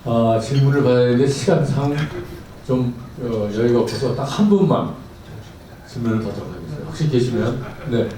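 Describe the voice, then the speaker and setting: A man's voice: medium pitch, brisk, mellow. Give me a formal male moderator in an echoey hall with noise behind him. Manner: polite, formal. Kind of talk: forum moderation. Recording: echoey hall, far from mic, audience noise.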